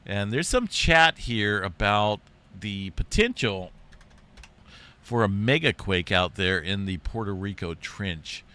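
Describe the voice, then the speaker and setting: A man hosting an earthquake update in a rambling, chatty way, his voice deep and congested from being sick. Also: lively intonation, slow pace, bright, forceful tone. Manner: rambling, chatty, says "right?" and "big time" often. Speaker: earthquake and space weather channel host. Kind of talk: casual screen-share update.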